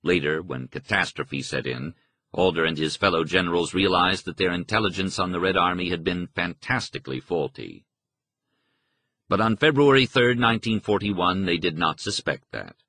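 Male history audiobook narrator. Low, bright and forceful, formal scripted read with measured, articulate phrasing. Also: expressive, slightly rough, no echo.

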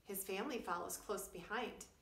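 A woman's voice: medium pitch, moderate pace. A middle-aged woman, a preschool teacher, reading a children's story aloud and explaining simply for young listeners. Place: small wood-panelled room indoors. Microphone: camera a few feet away. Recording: roomy, distant camera microphone.

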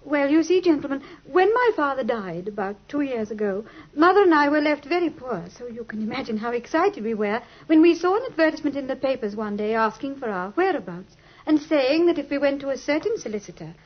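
A woman's voice: sits high, expressive, a little fast. An actress playing a polite, earnest, well-bred young lady in a 1950s radio drama. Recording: dull old 1950s radio recording.

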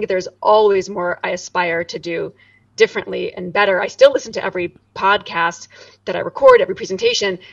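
Lively woman guest, gravelly voice, dry dull lo-fi video-call sound a little off-mic. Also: no room sound, recorded from a distance. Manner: animated, emphatic, swoops high and low. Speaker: guest, corporate director. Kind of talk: energetic interview talk. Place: home room, indoors. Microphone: studio mic on boom arm, video call.

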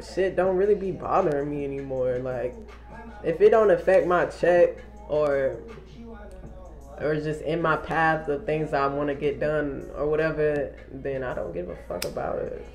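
A young man talking casually to a camera held at arm's length in a small wood-paneled room, using loose slang and swearing freely. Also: on the high side. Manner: laid-back slangy talk, swearing. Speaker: vlogger. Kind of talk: casual vlog update to camera.

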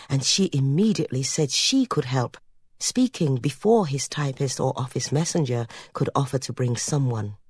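A woman reading literary fiction as an audiobook narrator, measured and expressive in her storytelling. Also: low voice, husky, no echo, clean studio sound.

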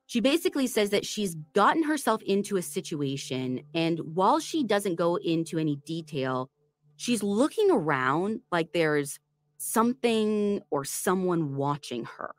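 A woman's voice: fairly low pitch, big pitch swings, measured pace, dry recording. A woman hosting a true crime podcast, retelling a case in a chatty, storytelling way. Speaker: podcast host.

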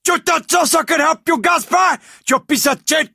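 An actor playing a hot-tempered, vengeful gangster drug lord in a video game, with a Cuban accent and a raspy voice, throwing profane, threatening taunts. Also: male voice, extremely high pitch, normal speed, pushed and bright, dry recording, shouting, clean studio sound, heavily acted. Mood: furious, threatening.

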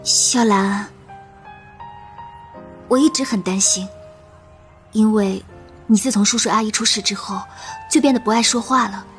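A woman's voice: medium pitch, measured pace, long pauses, soft background music, close to an everyday voice. A young woman playing a bubbly, caring teenage girl in an audio comic drama. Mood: tender, concerned.

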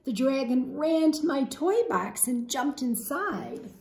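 Slow, animated woman storyteller with gravelly edge. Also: high-pitched. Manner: deliberate, dramatic emphasis with questions to listeners. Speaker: teacher reading a picture book to children. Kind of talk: expressive read-aloud storytelling for children. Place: large open living room at home, indoors. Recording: roomy home echo.

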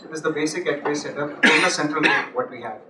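A young man giving a technical talk at a meetup, halting with many restarts and fillers, on a handheld mic in a large office room. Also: fairly high pitch. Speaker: conference speaker. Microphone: handheld mic, far camera. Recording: echoey room from far off, noisy.

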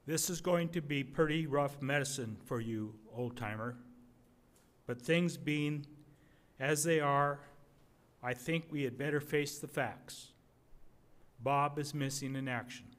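An older man reading aloud at a podium as an earnest, steady soldier writing letters home in wartime. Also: medium pitch, a little slow, long pauses, lightly acted. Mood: grave, steady. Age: 60s or older. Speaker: readers theater reader. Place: indoor museum gallery at a podium. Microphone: podium microphone.

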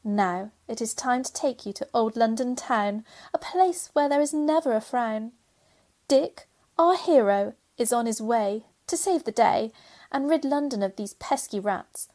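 Female actor as pantomime fairy spirit: bossy, cheeky, rhyming, slightly high voice. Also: expressive, measured pace, mellow. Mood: cheery, cheeky. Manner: cheeky, rhyming, addressing the audience. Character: bright, bossy good fairy spirit, pantomime heroine's helper. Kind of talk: pantomime radio play narration and acting.

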